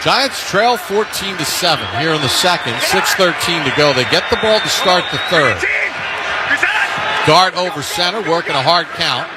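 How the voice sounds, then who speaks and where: Guy calling football on the radio, higher voice, really loud stadium noise behind him. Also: expressive, normal speed, over a noisy crowd. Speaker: play-by-play announcer. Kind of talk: live radio football commentary.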